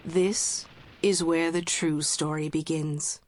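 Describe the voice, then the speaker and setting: A woman's voice: sits low, fairly even intonation, slow pace, darkish tone. A woman playing a solemn, foreboding storyteller, delivering portentous scripted narration for a fantasy video game.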